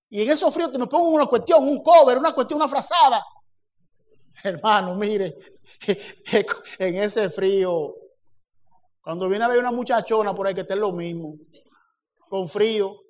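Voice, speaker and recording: A man preaching a sermon, acting out little dialogues and building to emphatic peaks. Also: high voice. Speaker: pastor.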